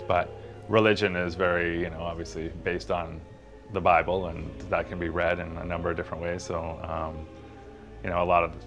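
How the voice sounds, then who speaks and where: A man's voice: low voice, creaky, lo-fi sound. A man talking casually and reflectively in an interview, in hesitant, meandering phrases. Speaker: interviewee in a documentary.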